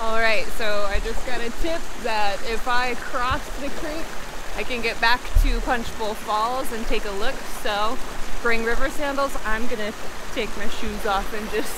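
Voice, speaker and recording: A woman's voice: fairly high pitch, unhurried, very noisy. A middle-aged woman hosting a hiking vlog, chatting casually to the camera outdoors on a trail.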